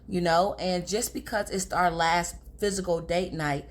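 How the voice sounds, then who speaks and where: A young woman with a raspy, hoarse voice, chatting casually to her viewers about relationships. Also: lowish voice, fairly even intonation, measured pace. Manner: chatty, confiding, addresses viewers as girls. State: hoarse from talking all day. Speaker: relationship advice vlogger. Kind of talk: casual advice talk to camera.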